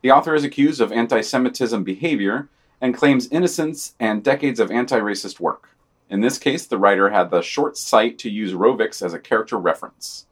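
A man's voice: medium pitch, brisk, breathy edge. A man co-hosting a podcast, reading scripted news commentary aloud in a wry, sardonic manner. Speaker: podcast co-host.

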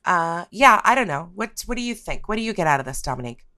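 A woman's voice: lowish voice, very animated, quick pace, sharp tone, no room sound. A woman hosting a podcast, talking through a topic. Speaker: podcast host.

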